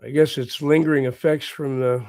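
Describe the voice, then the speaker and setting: A man with a hoarse voice from a recent bout of laryngitis, hosting a casual livestream and chatting quietly and encouragingly with his viewers. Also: mid-range voice, steady tone, moderate pace, no room sound, studio quality. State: hoarse, recovering lost voice. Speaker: livestream host. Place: at home with morning coffee.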